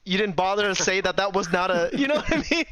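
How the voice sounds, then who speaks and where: Young man, from Indonesia, show host, bright forceful voice, dry close sound, acts out arguments. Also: high-pitched, fast, dry recording. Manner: animated storyteller, acts out family arguments, laughs often. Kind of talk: casual chat on a livestream.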